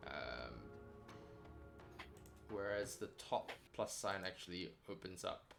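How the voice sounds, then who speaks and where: A man learning software in a casual walkthrough, tentatively thinking aloud and checking his understanding. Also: deep voice, animated, slow, music in the background.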